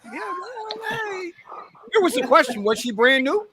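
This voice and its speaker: A man co-hosting a casual livestream chat, excitable and quick to swear, calling his guest bro. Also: very high voice, crowd noise, rough recording. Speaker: co-host.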